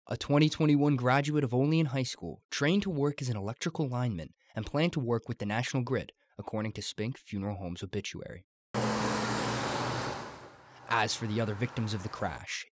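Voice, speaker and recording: A man's voice: mid-range voice, no room sound. A young American man delivering broadcast news as a student TV reporter, in a formal announcer-like manner. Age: young adult.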